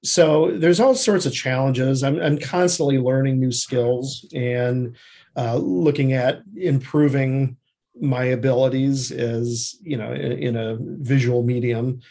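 A man answering as an interview guest in a conversational, reflective way, haltingly thinking aloud. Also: medium pitch, vocal fry, dark tone. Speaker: interview guest, screenwriter and TV creative services producer.

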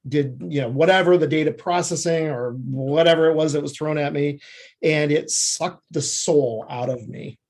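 A man answering as an interview guest in a conversational, reflective way, haltingly thinking aloud. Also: fairly high pitch, a little mellow. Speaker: interview guest, screenwriter and TV creative services producer.

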